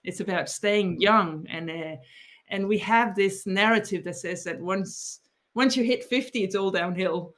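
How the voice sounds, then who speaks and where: A woman's voice: medium pitch, unhurried, fairly bright tone. A woman in her forties from Denmark with a Danish accent, explaining her ideas as a podcast guest, thoughtful, often restarting and repeating words. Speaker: guest author. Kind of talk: podcast interview.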